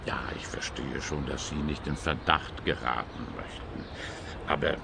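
An actor playing an indignant, pompous, bumbling and slightly lecherous neighbour in a comic radio play, blustering and trailing off. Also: male voice, rumbling low, fairly even intonation, moderate pace, creaky, whispered, full character voice. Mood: scheming, blustering. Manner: blustering, hesitant trailing phrases.

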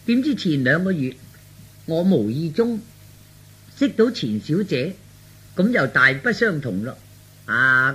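Man playing young Cantonese man, slightly high bright voice, glib, fickle, self-justifying, radio storytelling. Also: slightly rough, heavily acted.

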